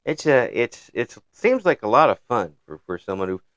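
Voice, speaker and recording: A man hosting a relaxed interview chat in an easygoing way. He laughs lightly between thoughts and circles back over his phrases, and his voice sits at a middling pitch in a dry, close recording. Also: normal speed, no echo, dull audio. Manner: easygoing, chuckles often, restarts phrases. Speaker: interview host.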